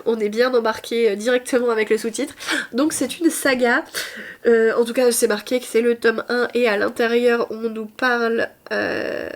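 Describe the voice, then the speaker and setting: A young woman with a stuffy, nasal voice from a cold, chatting casually and hesitantly about her reading, with many fillers and self-mocking asides. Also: a little high. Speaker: booktuber. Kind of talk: casual reading vlog chat.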